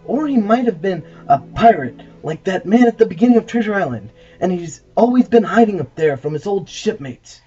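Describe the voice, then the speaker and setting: A woman's voice: expressive, moderate pace, few pauses, warm tone, muffled recording, soft background music, close to an everyday voice. A woman reading aloud voices a grubby, miserable young boy who talks in a sulky, wound-up way. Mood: conspiratorial. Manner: sulky and wound up, voice drops low when spooked.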